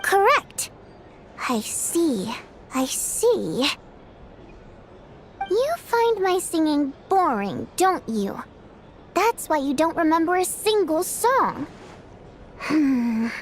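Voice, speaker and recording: A woman voicing a proud, touchy young theater singer in a video game, measured and pointed. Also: sits high, big pitch swings, slight background noise, heavily acted. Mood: hurt, accusing. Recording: music under the voice.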